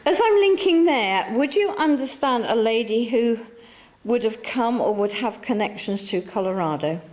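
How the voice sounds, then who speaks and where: A woman's voice: a little high, animated, normal speed, a little mellow. A woman working as a medium, talking to a church audience in a chatty, coaxing way that circles back and repeats itself. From England. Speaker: spiritualist medium. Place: church hall.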